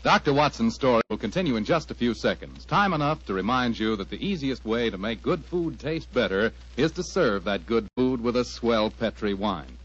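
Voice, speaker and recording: A man's voice: medium pitch, lively intonation, moderate pace, few pauses. A male radio announcer reading a sponsor message in 1940s style with polished, folksy salesmanship. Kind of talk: 1940s radio advertising read. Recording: muffled old-time radio recording.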